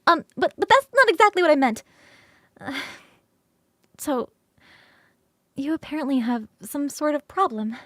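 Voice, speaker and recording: Woman doing a bubbly succubus roommate for asmr roleplay, high girly voice, really dry close sound, rambly and teasing. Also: very animated, a little slow, pauses often, fairly bright tone, no echo, full character voice. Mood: enthusiastic, distracted, then coaxing, sheepish.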